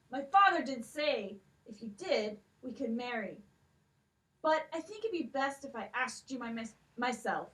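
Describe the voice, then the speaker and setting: A woman's voice: a little high, pushed and bright, recorded from a distance, heavily acted, low-quality recording. A woman voicing an earnest young prince in a children's puppet show, gushing and tripping over words. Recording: voice from off the mic.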